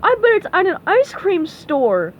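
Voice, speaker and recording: Lady doing a goofy dim starfish guy, friendly n eager, high voice, muffled audio. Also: huge pitch swings, measured pace, heavily acted. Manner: simple, eager. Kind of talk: read-aloud children's cartoon storybook. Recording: dull, noisy recording.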